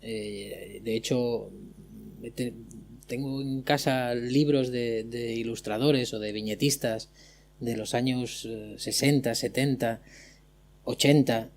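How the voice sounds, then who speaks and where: A man's voice: medium pitch, steady tone, slow, creaky. A man speaking on an illustration panel, joking and stumbling over words now and then. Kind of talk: casual panel discussion.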